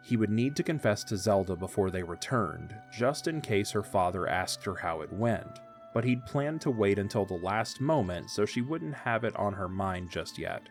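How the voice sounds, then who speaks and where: Low-voiced male storyteller over soft music. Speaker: narrator. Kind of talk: scripted audio drama narration.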